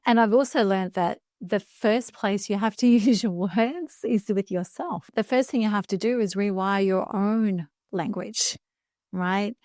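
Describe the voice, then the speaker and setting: A woman's voice: mid-range voice, darkish tone, no room sound. Earnest woman in her fifties, dry close sound. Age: 50s. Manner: earnest, emphatic, slows down for key phrases. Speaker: guest speaker coach. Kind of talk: reflective podcast conversation.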